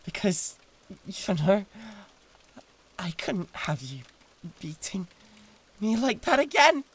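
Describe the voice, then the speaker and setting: Man playing a breathy, jealous British demon. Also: high-pitched, very animated, fairly bright tone, exaggerated voice. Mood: indignant, possessive. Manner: halting, repeating words with wounded pride. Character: jealous, possessive male demon, flustered and pleading. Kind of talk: flirtatious audio roleplay.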